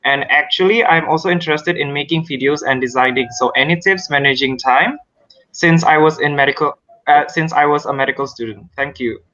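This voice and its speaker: Bright-voiced man moderating politely. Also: on the high side, a little fast. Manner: polite and formal, reads audience questions. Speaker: event moderator. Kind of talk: moderating an online session. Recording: muffled lo-fi video call.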